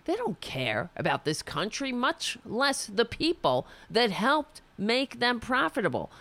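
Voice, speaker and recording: Fiery, hoarse woman ranting slowly. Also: on the high side, fairly bright tone, dry recording. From United States. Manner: fiery, ranting, restarts mid-sentence. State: losing her voice. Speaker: political show host. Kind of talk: impassioned political monologue.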